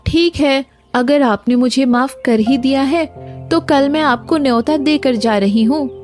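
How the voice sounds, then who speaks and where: A woman's voice: sits high, a little slow, darkish tone, soft background music. A woman playing a remorseful, affectionate village wife in a cartoon moral story, speaking in an earnest, polite way. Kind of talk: animated moral story dialogue.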